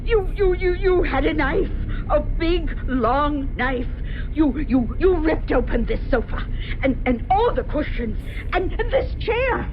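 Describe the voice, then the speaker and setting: An actress playing a terrified, pleading middle-aged aunt in an old-time radio drama, stammering and gasping for breath. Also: female voice, high-pitched, animated, a little slow. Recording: tinny old radio broadcast under loud truck noise.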